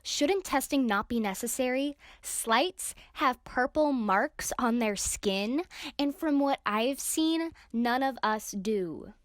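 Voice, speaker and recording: A woman plays a grumpy passenger in an amateur audio drama who complains at every turn. Her voice is slightly raised in pitch and sounds dry and close. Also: lively intonation, slow, no echo. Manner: irritable, complaining. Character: grumpy tired female passenger, whiny.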